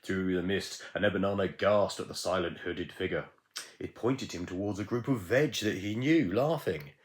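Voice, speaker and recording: Deep voiced guy reading a bedtime story, expressive, takes his time w dramatic pauses. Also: slight background noise. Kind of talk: storybook read-aloud.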